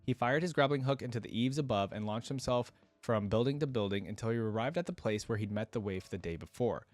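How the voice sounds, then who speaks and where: A man's voice: medium pitch, fast talker, no room sound, soft background music. A man giving casual, enthusiastic, slangy reaction commentary and reading a story aloud as host.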